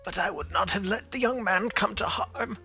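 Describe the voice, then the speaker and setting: A man's voice: sits high, moderate pace, pushed and bright, faint music behind, pitched above the performer's own voice. A man voicing a dying old man who is weary and full of remorse, pleading in a strained, breaking voice.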